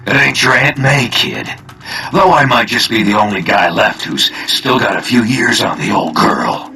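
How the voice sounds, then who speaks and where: An actor playing a taunting, persuasive older spymaster who serves a hive mind in a video game cutscene. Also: male voice, mid-range voice, moderate pace, pushed and bright, a little hiss or hum. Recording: game music faintly behind.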